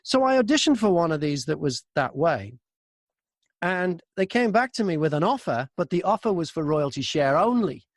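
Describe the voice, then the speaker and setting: A man's voice: on the high side, very animated, moderate pace, dry recording, studio quality. A man who narrates audiobooks, chatting casually as an interview guest and acting out his thoughts and messages as he tells stories.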